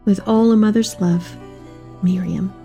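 A woman playing an elderly, devout mother reading her tender letter aloud in an expressive, affectionate dramatic reading. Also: medium pitch, unhurried, creaky, faint music behind. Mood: hopeful, solemn.

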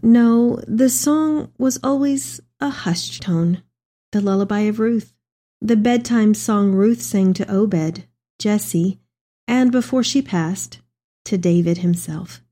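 A woman's voice: mid-range voice, slow pace, dark tone. A woman playing an elderly, tender mother reading her letter aloud in a reflective dramatic reading. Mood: confiding, wistful.

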